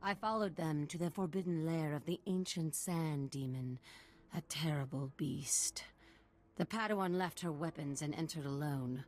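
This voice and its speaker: An eccentric desert guide from a video game. She speaks formally in a slightly low voice, deferential yet mysterious, like a storyteller. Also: normal speed, darkish tone. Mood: reverent intrigue. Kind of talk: scripted video game acting.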